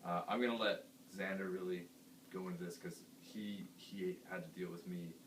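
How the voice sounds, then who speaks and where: Deep-voiced male singer, earnest casual chat, roomy echo, far from the mic, faint hiss. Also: steady tone, normal speed. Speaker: band singer. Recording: echoey room, distant mic, faint hiss.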